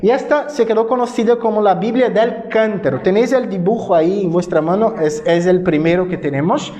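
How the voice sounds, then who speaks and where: A man with a distinctly high-pitched voice and a Brazilian accent speaks as a native Portuguese speaker. He lectures like a pastor teaching his congregation, informal and didactic. He keeps punctuating his explanations with short checking questions. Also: fairly quick, mellow. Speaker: pastor giving a church history lecture.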